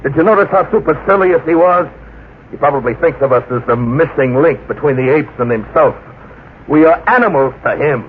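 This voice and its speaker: An actor playing a grim adventurer telling of a frightening expedition in measured, dramatic old-time radio style. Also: male voice, a little high, a little hiss or hum, lightly acted. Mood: tense, urgent. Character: grim male adventurer recounting a terrifying expedition. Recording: muffled old radio recording, loud dramatic music.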